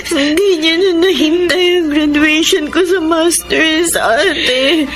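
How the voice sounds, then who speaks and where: A woman's voice: high-pitched, slow. A young woman acting a grieving younger sister, a devoted student daughter, in a Tagalog radio drama. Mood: grieving, tearful. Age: young adult. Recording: radio drama with loud music bed.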